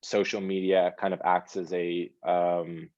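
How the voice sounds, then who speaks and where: Male panelist: very low and monotone, thoughtful and searching, on a muffled video call. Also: measured pace, dry recording. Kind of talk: casual panel discussion.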